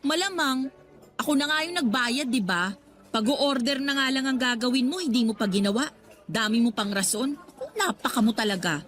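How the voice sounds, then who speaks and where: A woman's voice: on the high side, normal speed, a bit bright, natural voice. An actress playing an exasperated, sulky young working girlfriend with a Filipino accent, huffy and nagging in a radio drama. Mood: irritated. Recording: background music under the voice.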